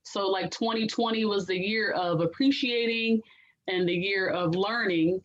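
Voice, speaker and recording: A woman co-hosting a casual podcast, with a raspy, nasal voice that is a bit hoarse, chatting with many fillers. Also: medium pitch, measured pace, muffled recording. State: hoarse, recovering. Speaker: podcast co-host. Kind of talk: casual chat.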